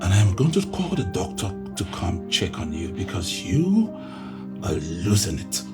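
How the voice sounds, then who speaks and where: An actor playing a stern, well-off husband with a Nigerian accent in a film drama. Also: male voice, low-pitched, wildly swooping pitch, normal speed, over loud music. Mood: stern, accusing.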